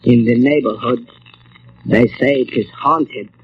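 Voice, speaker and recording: An actor playing a superstitious, subservient manservant with buried resentment, halting and deliberate, in old radio drama style. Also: male voice, mid-range voice, close to an everyday voice. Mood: timid, uneasy. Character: meek, superstitious foreign manservant, loyal but resentful. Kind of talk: 1940s radio drama acting. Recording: muffled old radio recording, noisy.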